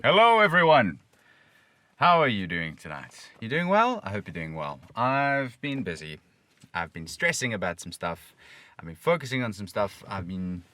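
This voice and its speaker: A man hosting his own channel, chatting casually and softly to viewers in a rambling way. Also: mid-range voice. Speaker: host of a voice channel. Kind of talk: casual chat to camera.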